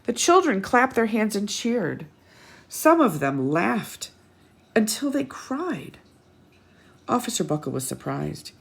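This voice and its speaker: Animated, husky-voiced woman reading to children. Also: mid-range voice. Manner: expressive, pausing for asides and questions to young listeners. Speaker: children's storytime host. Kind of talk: animated picture-book read-aloud for children.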